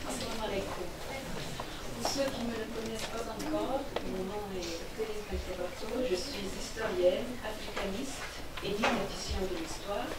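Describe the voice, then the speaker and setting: A woman from Italy giving a formal opening address as the president of an association, speaking in a measured way and often hesitating. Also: medium pitch, heavy background noise. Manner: measured, frequent hesitations, reads prepared remarks. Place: conference hall. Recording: echoey hall, speaker far from mic.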